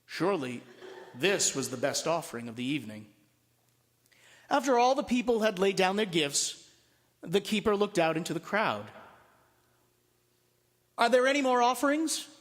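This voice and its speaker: A man with a high, swooping voice reads a story aloud as a priest would to his congregation. His delivery is dramatic and deliberate, and the sound rings long through a large church. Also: very animated. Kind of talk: expressive storybook narration to listeners. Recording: long reverberant hall.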